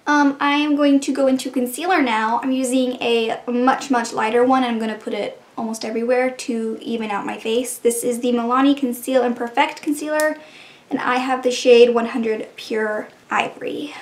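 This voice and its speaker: A woman's voice: fairly high pitch, steady tone, moderate pace, roomy sound. A beauty vlogger, a woman who sounds a bit congested and stuffy, chatting casually through her makeup steps as she works. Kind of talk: casual makeup try-on narration.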